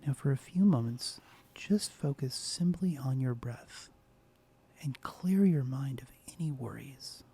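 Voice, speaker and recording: A man hosting a soft-spoken ASMR guided meditation, speaking slowly and gently, often dropping into a whisper. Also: mid-range voice, animated, breathy edge. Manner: slow, soft, partly whispered. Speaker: ASMR host.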